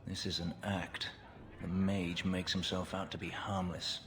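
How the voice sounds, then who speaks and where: A stern warrior in a fantasy game. His voice is very low and flat, with a terse, deadpan delivery. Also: a little slow. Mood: suspicious, deadpan. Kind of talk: video game voice acting.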